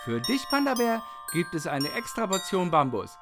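A man's voice: sits high, sing-song, moderate pace, over loud music. A man playing a kindly, grateful Santa Claus in a children's Christmas audio play. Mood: warm, generous.

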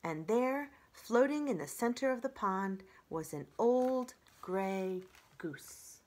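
A middle-aged woman reading a children's story aloud in an expressive storytelling style. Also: mid-range voice, takes it slowly. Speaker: children's library story reader.

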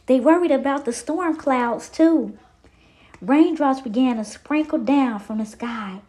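A woman reading a picture storybook aloud to young children, with theatrical, drawn-out emphasis. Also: sits high, warm tone.

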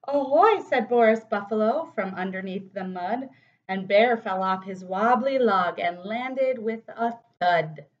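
Unhurried American woman reading a storybook. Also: mid-range voice. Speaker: city council member reading to children. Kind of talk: expressive children's storybook read-aloud. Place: home office, indoors. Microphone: computer microphone at desk distance. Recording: slightly dull webcam audio.

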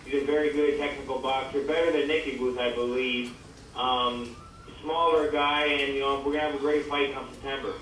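A man's voice: medium pitch, little pitch movement, fairly quick, fairly bright tone. Man on echoey speakerphone. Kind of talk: answering press questions, casual. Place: conference call played through a speaker in a room. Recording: very echoey speakerphone call, distant, faint noise.

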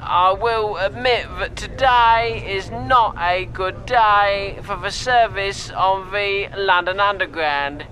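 A man voicing a London Underground train driver making deadpan, apologetic announcements over background noise. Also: high-pitched, takes it slowly, hardly any pauses, pitched above the performer's own voice, exaggerated voice. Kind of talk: comic announcement in a voice reel.

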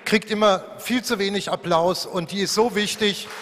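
A man in his thirties co-hosting a stage event, making lively, upbeat closing announcements into a handheld mic. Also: high voice, moderate pace. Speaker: co-host. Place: large hall with audience. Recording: echoey hall with audience noise.

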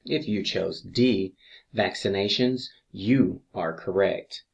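A man reading scripted exam review questions as an instructor, deliberately, pausing between each answer choice. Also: low voice, creaky, dull audio.

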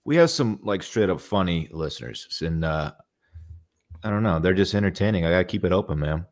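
Low-voiced young man, upbeat banter. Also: lively intonation, a little fast. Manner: upbeat, says "man" a lot, clears throat. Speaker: podcast co-host. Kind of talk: casual chat. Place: home living room, indoors.

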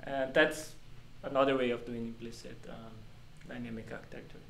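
A man with a mid-pitched voice presents informally to a group. He explains technical material in an echoey meeting room. The mic is some distance away and there is faint noise. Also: level tone, normal speed, gravelly. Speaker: meetup presenter. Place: small glass-walled meeting room.

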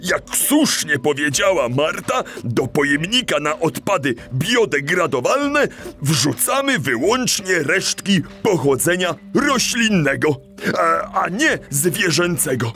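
A man's voice: fairly high pitch, big pitch swings, takes it slowly, bright, forceful tone, faint music behind, exaggerated voice. A man playing a kindly animal teacher in a children's audio play, encouraging and didactic, with small stammers. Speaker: teacher leading a children's lesson.

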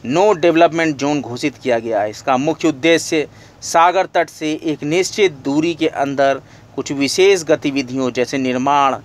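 A man reading exam-preparation study notes aloud as a lecturer, in a steady, list-like delivery. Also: fairly high pitch, moderate pace.

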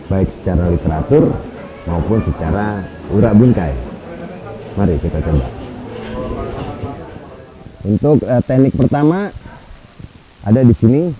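A man in his forties, a karate instructor, explaining techniques in an easygoing way with frequent filler pauses. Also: fairly low pitch, low-quality recording. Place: outdoor café courtyard at night.

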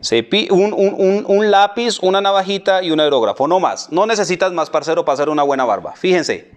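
A Colombian man with a high-pitched voice talks through a barbering tutorial. He speaks in loose street slang, repeating little phrases and addressing the listener as a buddy. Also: animated, a little fast. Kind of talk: casual hands-on tutorial narration.

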